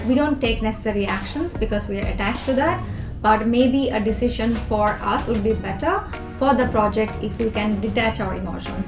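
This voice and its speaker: Woman giving earnest tips. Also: on the high side. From Bangladesh. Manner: earnest, direct, gives numbered tips. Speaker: communication coach. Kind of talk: advice talk to camera. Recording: echoey room with background music.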